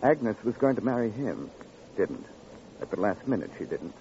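Man acting a cagey American club operator, low swooping voice, familiar halting talk, noisy old radio drama. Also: expressive, warm tone, natural voice. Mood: confiding. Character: cagey, folksy male lonely-hearts club operator. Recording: muffled, noisy vintage radio recording.

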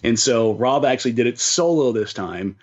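A man's voice: medium pitch, expressive, normal speed, lo-fi sound. A man co-hosting a sports podcast in casual chat, often repeating words as he thinks aloud.

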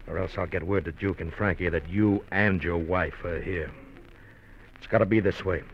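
An actor playing a hunted, pushy small-time crook in an old radio crime drama, blunt, slangy and insistent. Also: male voice, deep voice, moderate pace. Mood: threatening. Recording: muffled, hissy old radio recording.

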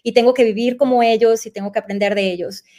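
A Venezuelan woman in her forties, a journalist being interviewed, recalling her path in a reflective storytelling way and pausing to search for words. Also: on the high side, steady tone, dark tone. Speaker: guest journalist. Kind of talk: relaxed conversational interview.

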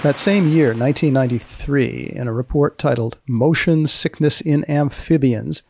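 A man hosting and narrating scripted science material in a measured way, pausing to explain terms. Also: a little high, gravelly, darkish tone, dry recording, studio quality. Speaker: host and presenter.

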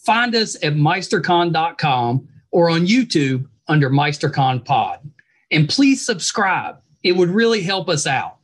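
A man's voice: on the high side, big pitch swings, unhurried, dry recording, clean studio sound. A man hosting a podcast, chatting casually to listeners in an effusive, gushing manner. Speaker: podcast host and interviewer. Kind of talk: casual chat and outro to listeners.

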